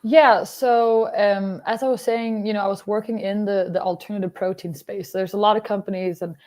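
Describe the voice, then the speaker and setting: A young woman from Denmark, a biotech startup founder, answering interview questions in a relaxed, explanatory way, full of fillers. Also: mid-range voice, moderate pace. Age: young adult.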